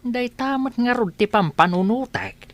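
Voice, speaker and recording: A woman acts out an elderly village father in a comedy radio play. The delivery is exasperated and scolding but soft-hearted, with sighs and very lively swings in pitch. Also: measured pace. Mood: weary concern. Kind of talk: Ilocano comedy radio drama acting.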